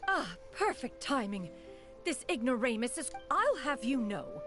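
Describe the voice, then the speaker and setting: An actress playing a haughty, proud master in a video game, speaking in a formal, imperious, archaic way. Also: female voice, sits high, big pitch swings, unhurried, music in the background. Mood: haughty, indignant. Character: haughty, proud adept woman, master to a young apprentice. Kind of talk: scripted video game voice acting.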